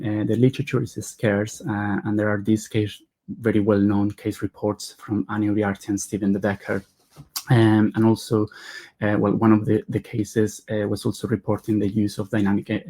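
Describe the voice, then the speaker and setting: A man's voice: lowish voice, warm tone. A man, presenting research in a webinar lecture over a video call, earnest and explanatory, with frequent hesitations and self-corrections. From Spain. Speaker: veterinary neurology resident presenting research.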